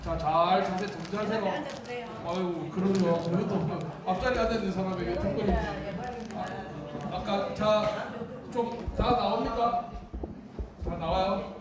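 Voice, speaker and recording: A man's voice: high-pitched, measured pace, recorded from a distance, noisy background. A man with a Gyeongsang accent hosting an event, upbeat and leading the crowd in cheers. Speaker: event emcee. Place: meeting hall with an audience. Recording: echoey hall with a loud crowd.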